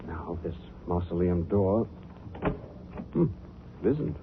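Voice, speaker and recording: An actor playing an assured, skeptical gentleman sleuth in a 1940s radio drama, explaining things to his companion. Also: sits low, expressive, slow, phone-quality sound. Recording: thin, noisy 1940s radio recording.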